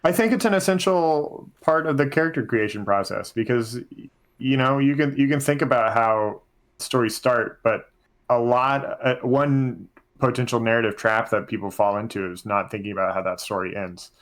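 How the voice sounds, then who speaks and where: A man hosting a casual roundtable, deliberate and halting as he rephrases his questions. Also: mid-range voice. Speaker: podcast host posing questions.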